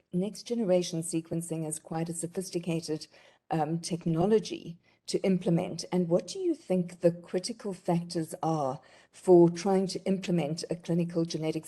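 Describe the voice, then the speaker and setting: A woman, a professor examining a thesis over a remote video call, courteous and gently probing. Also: fairly low pitch. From South Africa. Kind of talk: formal academic questioning.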